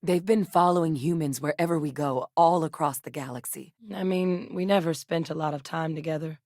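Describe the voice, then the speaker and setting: An actress playing an earnest, guarded military scientist in a space video game, speaking in a measured, articulate, serious way. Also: female voice, deep voice, a little mellow, no echo, clean studio sound. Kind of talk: video game character voice lines.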